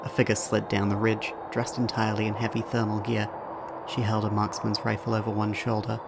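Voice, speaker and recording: A man's voice: on the low side, completely flat delivery, brisk, gravelly, very noisy. A man reading scripted narration for an audio drama as its narrator.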